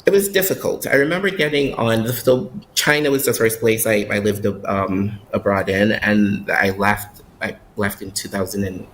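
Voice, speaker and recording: A Barbadian man living overseas chats in an interview, pausing often with fillers and restarting his sentences. His voice sits a little low. Faint ambient noise hums behind him. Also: a bit bright, poor audio. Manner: hesitant, many fillers and restarts. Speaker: guest living abroad. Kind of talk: casual interview chat.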